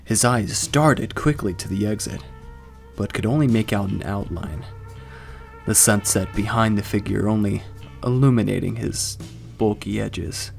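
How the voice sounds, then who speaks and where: A man narrating scripted fiction in a measured, dramatic storytelling way. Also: lowish voice, vocal fry, soft background music.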